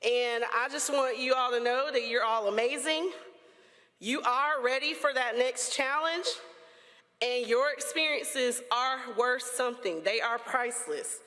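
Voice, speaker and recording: A woman delivers an encouraging keynote address to graduates, sometimes breaking into playful asides. Her voice sits a little high and rings through a large auditorium with long reverb. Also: a little slow, bright, forceful tone. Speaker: keynote speaker. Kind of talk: motivational commencement address.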